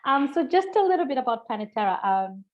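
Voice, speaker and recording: A woman's voice: high-pitched, big pitch swings, quick pace, a little mellow, slight background noise. A woman from Zimbabwe, a programs manager, giving an upbeat, chatty webinar presentation with lots of fillers. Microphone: video call. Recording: dull lo-fi video call audio.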